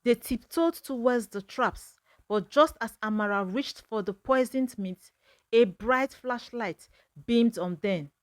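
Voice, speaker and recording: A woman narrates a folktale at a slow, measured pace. Her voice sits fairly high and the sound is dry and close, with a dramatic storytelling delivery. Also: dry recording.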